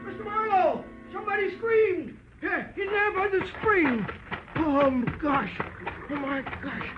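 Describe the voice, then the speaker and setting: A very high, squeaky, hapless fellow in forties radio drama. He is whiny and flustered, swooping all over. The muffled recording has loud music behind it. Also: lively intonation, unhurried. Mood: panicked. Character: excitable, hapless, squeaky male witness. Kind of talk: 1940s radio drama acting.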